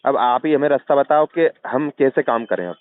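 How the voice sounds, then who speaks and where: A man's voice: mid-range voice, animated, moderate pace, a little hiss or hum. Male, central Indian Hindi accent, persuasive explainer who keeps seeking agreement, heard on a tinny recorded phone call. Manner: persuasive, checks agreement with frequent tag questions.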